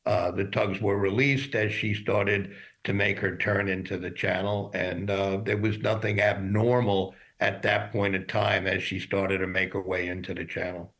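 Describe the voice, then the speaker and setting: A man speaking as an industry expert in a news interview, explaining things in a measured, formal way. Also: low-pitched, flat delivery, a bit bright.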